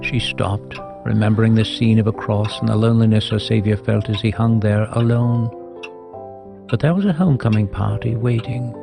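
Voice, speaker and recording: A man narrating a scripted audio drama as a storyteller, in a measured, reflective manner. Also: fairly low pitch, vocal fry. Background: music.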